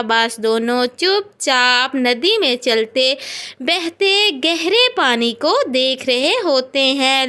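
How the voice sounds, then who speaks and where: Lady reading a scripted children's story, slow drawn-out telling, high sharp voice. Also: clean tone. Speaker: narrator.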